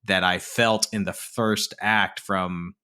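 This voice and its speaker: Bright-voiced man talking films. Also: deep voice, level tone, takes it slowly, clear, resonant tone. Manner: thoughtful, hesitant restarts. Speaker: podcast co-host. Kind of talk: casual film discussion.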